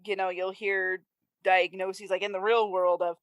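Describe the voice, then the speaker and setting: A woman's voice: mid-range voice, level tone, a little slow, clean tone, no room sound. A woman co-hosting a casual fan podcast, talking conversationally and acting out imagined quotes. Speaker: podcast co-host.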